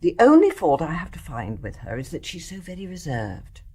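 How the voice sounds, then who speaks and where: Radio drama role: older English mother, genteel, measured phrasing, very varied intonation. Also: deep voice, sing-song, dark tone, slightly rough, full character voice. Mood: confiding. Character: genteel older mother, kindly and quietly observant. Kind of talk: radio play acting.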